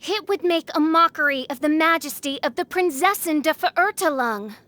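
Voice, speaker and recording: A woman's voice: high voice, moderate pace, bright, forceful tone, slight background noise. A woman voicing a theatrical teenage girl posing as a haughty princess in a video game, with grandiose, archaic speech. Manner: grandiose, archaic, alliterative, melodramatic. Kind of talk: video game character dialogue.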